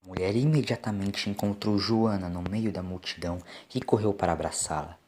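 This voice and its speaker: A man's voice: low-pitched, a little fast, a little mellow. A man with a Brazilian accent giving a dramatic scripted narration of a science-fiction story.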